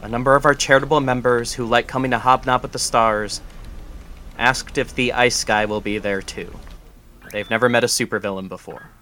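A man's voice: medium pitch, steady tone, brisk, sharp tone, slight background noise, natural voice. An actor playing a stern, formal foundation director who is protective of his organization, in an audio drama. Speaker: foundation head.

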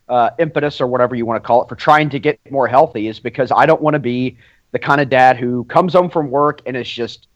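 A man's voice: medium pitch, dull audio. A man co-hosting a podcast, telling personal stories casually and emphatically. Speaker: podcast co-host. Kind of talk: casual chat, personal anecdotes.

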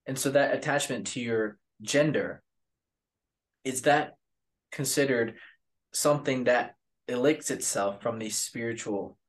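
A man hosting a podcast, asking earnest questions in a halting way, often searching for the right word. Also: medium pitch. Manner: earnest, halting, fumbling for words. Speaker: host. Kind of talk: casual interview questions.

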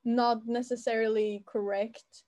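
A woman with a fairly high voice tells a meandering story in a relaxed, casual chat. She strings her sentences together with constant fillers and quick restarts. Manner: rambling, filler-heavy storytelling. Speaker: student recounting school life.

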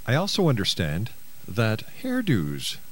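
Low-voiced male radio host. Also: wildly swooping pitch, measured pace. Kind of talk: radio interview, partly reading a book synopsis.

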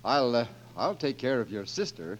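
An actor playing a pompous, flustered magazine publisher, demanding and easily reduced to stammering, in 1940s radio comedy. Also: male voice, medium pitch, very animated, normal speed, lo-fi sound, lightly acted.

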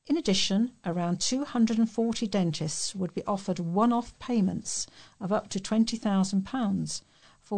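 A woman's voice: fairly low pitch, gravelly, a little mellow. A British woman reading local news aloud as a volunteer news reader, occasionally stumbling over a word.

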